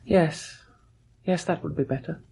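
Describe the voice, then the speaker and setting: An actor playing a weak, gentle husband of about thirty in a radio drama, husky and halting, with a plaintive, weary manner. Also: male voice, a little high, exaggerated voice. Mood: hesitant. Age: 30s. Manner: halting, plaintive, self-doubting. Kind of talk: old radio drama acting.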